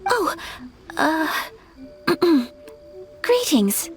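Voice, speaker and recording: A woman's voice: very high voice, sing-song, extremely slow, faint music behind, full character voice. A woman voicing a polite, modest young noblewoman with a formal, courteous manner in a video game. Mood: modest, flustered.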